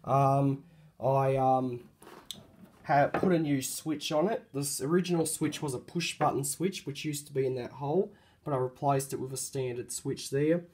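A man with a hoarse voice from a cold, casually showing off a light fitting he refurbished, talking hesitantly with many ums and restarts, recorded on a handheld phone indoors. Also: mid-range voice, moderate pace, a little mellow, slight background noise. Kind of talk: casual show-and-tell narration.

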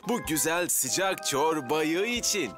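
A man voicing a well-meaning, gentle firefighter sidekick in a Turkish cartoon dub, soothing and simple-hearted. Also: a little high, big pitch swings, takes it slowly, music in the background.

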